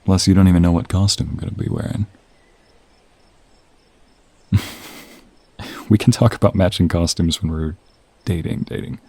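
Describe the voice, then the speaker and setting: Male actor as smooth-talking office crush for romantic audio role play: low, husky, confident, teasing. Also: dark tone. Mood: persuasive, warm. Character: flirty, confident male office coworker asking out a friend.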